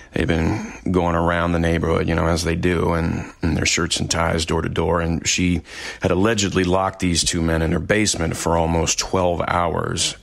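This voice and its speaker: An actor playing a small-town investigator in an audio drama, giving a dry, factual, conversational account like testimony. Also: male voice, sits low, gravelly, fairly bright tone, heavily acted.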